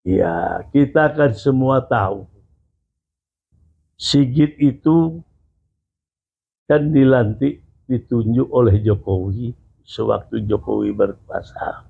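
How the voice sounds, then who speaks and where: A male guest giving slow, measured opinions in a casual interview. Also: mid-range voice, gravelly, breathy edge. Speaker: guest commentator. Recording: tinny phone-like audio.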